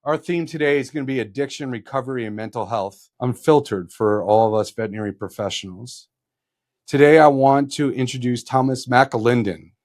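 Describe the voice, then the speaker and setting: A man's voice: mid-range voice, brisk, a little mellow. A man hosting a podcast, introducing and interviewing in an earnest, encouraging manner. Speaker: podcast host.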